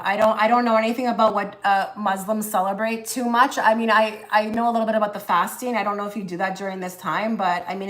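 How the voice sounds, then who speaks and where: A woman hosts a live tarot stream in a loose, chatty way. She halts, restarts and fills pauses as she reads cards and talks to viewers. Her voice sits at an ordinary middle pitch. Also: a bit bright. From half Egyptian. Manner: chatty, halting, many fillers and restarts. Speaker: tarot reader livestream host. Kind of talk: casual livestream tarot reading.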